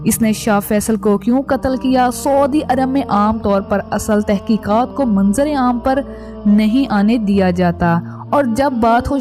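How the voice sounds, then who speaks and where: A woman's voice: medium pitch, mellow. A woman reading scripted documentary narration as the narrator of a biographical history piece. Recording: background music under voice. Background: music.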